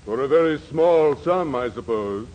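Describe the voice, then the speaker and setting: A man's voice: medium pitch, animated, moderate pace, muffled recording, lightly acted. An actor playing a pompous, vain male thespian in a 1940s radio comedy, with haughty, formal diction. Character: pompous, vain stage actor hungry for stardom. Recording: noisy vintage 1940s radio recording.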